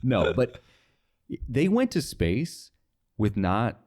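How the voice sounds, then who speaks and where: A man's voice: medium pitch, huge pitch swings, slow, a little mellow. A young man talking casually as an interview guest, informal and rambling, often saying "like". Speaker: podcast guest, radio sales associate.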